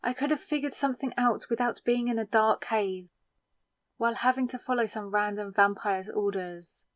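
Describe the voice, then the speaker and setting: A woman playing a newly turned vampire, sarcastic and rattled, in a conversational, exasperated audio roleplay with hesitant pauses. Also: on the high side, no room sound, lightly acted. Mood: incredulous, upset. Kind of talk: scripted ASMR audio roleplay.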